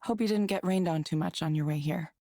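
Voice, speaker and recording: Game role: woman playing a composed female counselor, mellow low-set voice, measured and reserved, very dry sound. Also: dry recording, natural voice. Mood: calm, professional. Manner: measured, even-tempered, reserved. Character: reserved, calm female counselor in a visual novel. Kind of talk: visual novel game dialogue.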